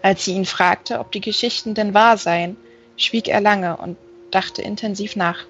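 A woman's voice: mid-range voice, steady tone, measured pace, fairly bright tone, slightly rough, muffled recording, faint music behind. A woman reading a fantasy novel aloud as literary audiobook narration. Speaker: audiobook reader.

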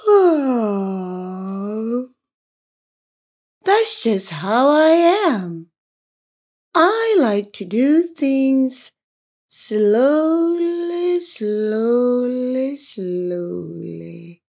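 A woman voicing a placid, peace-loving sloth in a children's storybook, with drawn-out, emphatic delivery. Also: a little high, big pitch swings, a little mellow, pitched above the performer's own voice, full character voice. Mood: sleepy, content. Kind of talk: children's storybook read-aloud.

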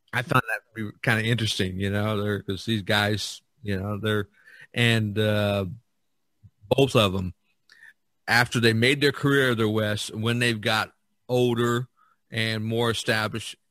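A man's voice: lowish voice, steady tone, measured pace, fairly bright tone, dry recording. A man hosting a podcast in casual discussion, talking in a hesitant, meandering way. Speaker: podcast host.

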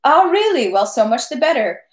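A woman's voice: mid-range voice, normal speed, roomy sound, close to an everyday voice. A woman voicing a merry, good-natured French army captain who brags ceaselessly about his battles. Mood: jovial. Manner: lively, talks ceaselessly, good-natured bragging. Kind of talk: audiobook character reading.

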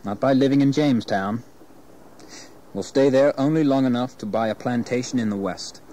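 An actor playing an ambitious, proud young English gentleman settler in a historical audio drama. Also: medium pitch, normal speed, close to an everyday voice. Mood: resolute, proud. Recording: noisy 1980s audio drama tape.

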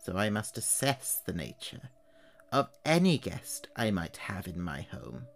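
A man voicing an old witch who complains about her neighbors at a slow, chatty pace. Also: low-pitched, huge pitch swings, vocal fry, heavily acted. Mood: brooding. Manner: slow, chatty complaining monologue rising to anger. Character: old witch woman, wary, aggrieved, self-pitying. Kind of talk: audio horror story reading.

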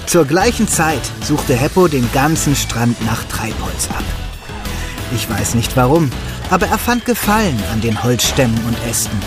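A friendly builder played by a male actor tells his story to children in a mid-range voice. It is audio drama narration, with music clearly running underneath. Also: mid-range voice, close to an everyday voice. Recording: music behind the voice.

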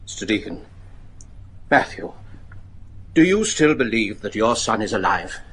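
An actor playing a grave, resolute English gentleman in a gothic horror radio play, measured, courteous and theatrical. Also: on the low side, very animated, long reverb tail, a little hiss or hum. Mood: grave, intimate.

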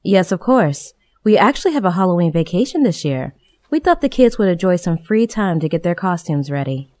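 A woman playing a calm, obliging small-town schoolteacher in a video game. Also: on the low side, brisk. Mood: calm, welcoming. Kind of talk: video game cutscene acting.

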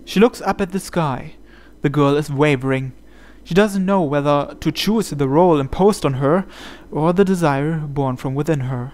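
Higher-pitched man narrating a visual novel. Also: normal speed, a little mellow. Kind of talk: dramatic scripted narration. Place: indoors, voice-over on game playthrough. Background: game music.

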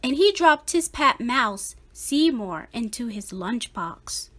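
A woman reads a children's picture book aloud in a high, bright voice. Her storytelling is very slow, with a lively rise and fall. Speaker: storybook reader. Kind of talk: children's read-aloud narration.

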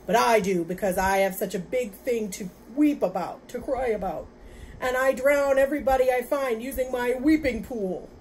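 A woman voicing a vain, angry, weepy old male rhinoceros in a storybook, loud and emphatic, with noise behind. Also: high voice, moderate pace, voice pushed up, lightly acted, rough recording. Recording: clear background noise.

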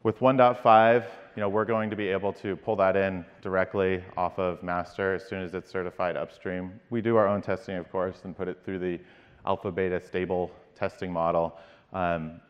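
A low-pitched man in his thirties gives a keynote from a big conference stage. He speaks in a measured way, with frequent ums and small restarts. His voice carries a long hall reverb, and the sound is slightly dull. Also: flat delivery. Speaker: company CEO giving a keynote. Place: large dark conference stage.

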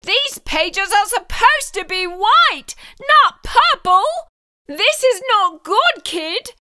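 Brief: woman doing a fretful neat-freak boy frog for a storybook; very high, lively, bright and dry. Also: takes it slowly, no room sound, full character voice. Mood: aghast. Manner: dramatic, fretful, exclaiming. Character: fussy neat-freak young male frog. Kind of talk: storybook read-aloud acting.

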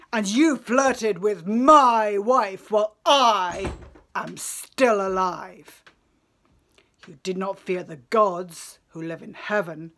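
Lady doing a menacing warrior king out for revenge, really slow, sneering, epic tale. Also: medium pitch, a bit bright. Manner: sneering, slow, deliberate scorn. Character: vengeful, menacing warrior king returned home.

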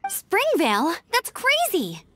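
A woman voicing a spirited, friendly young female scout in a video game, earnest and energetic. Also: extremely high pitch, takes it slowly, slight background noise, exaggerated voice. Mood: concerned, brisk. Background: music.

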